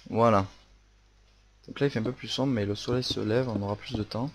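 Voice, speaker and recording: A man's voice: on the low side, fairly even intonation, brisk. A young man with a Marseille accent narrating a building tutorial casually, hesitant with many fillers and restarts. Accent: Marseille French. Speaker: Minecraft building tutorial host. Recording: faint noise and clicks.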